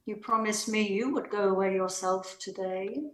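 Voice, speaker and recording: A woman playing a restrained, elegant married lady, wistful yet resolute, in a measured amateur play reading. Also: medium pitch, fairly even intonation, echoey room, close to an everyday voice. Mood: brisk, composed.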